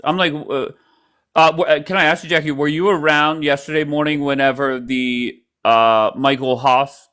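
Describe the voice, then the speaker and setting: A man's voice: a little high, sharp tone. A man hosting a live stream, bluntly questioning callers in casual chat. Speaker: livestream host.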